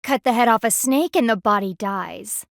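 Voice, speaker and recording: A woman's voice: a little high, normal speed, creaky, no echo, studio quality. An actress voicing a stern, haughty female panda palace enforcer in a video game, formal, commanding and disdainful.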